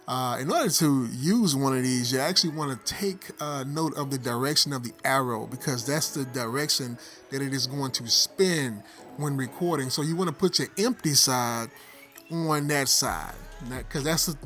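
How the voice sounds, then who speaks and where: A man's voice: mid-range voice, a bit bright. A middle-aged man giving a casual product review, explaining things directly to viewers. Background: background music.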